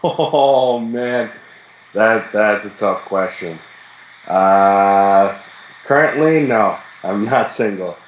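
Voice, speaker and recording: Young man, casual interview talk, relaxed and rambling, roomy echo, faint ambience. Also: fairly low pitch, very slow, muffled recording, slight background noise. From the Bronx, New York. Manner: relaxed, rambling, many fillers. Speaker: interview guest, rapper.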